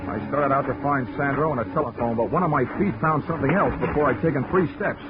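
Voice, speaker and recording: An actor playing a hard-boiled insurance investigator, deadpan and wisecracking, in 1940s radio detective style. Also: male voice, medium pitch, fast, natural voice. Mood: weary, wry. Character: wry, hard-boiled insurance investigator narrating his case. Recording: muffled 1940s radio recording with music.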